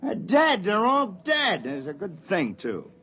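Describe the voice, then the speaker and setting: Gravelly, loudmouthed grumbling spaceman. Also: sits high, huge pitch swings, measured pace, muffled recording, exaggerated voice. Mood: dismissive. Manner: griping, scornful, slangy. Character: loudmouthed, grumbling, crude spaceman with sore feet. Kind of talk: 1950s radio drama acting. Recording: noisy vintage 1950s radio recording.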